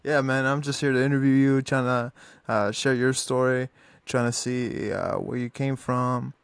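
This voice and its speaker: A young man from Arizona hosting an interview, speaking casually and haltingly with many fillers. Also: mid-range voice, steady tone, gravelly, clear, resonant tone, dry recording. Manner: halting, lots of fillers and restarts. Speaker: podcast host, interviewer. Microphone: desk mic in front, earbuds.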